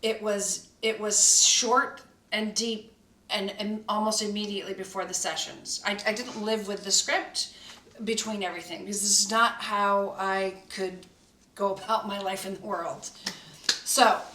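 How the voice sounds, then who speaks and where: A woman voice actor reflecting on her preparation in a relaxed behind-the-scenes chat, pausing often to find her words. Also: medium pitch. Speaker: voice actor in the radio play. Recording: roomy echo, distant mic, faint noise.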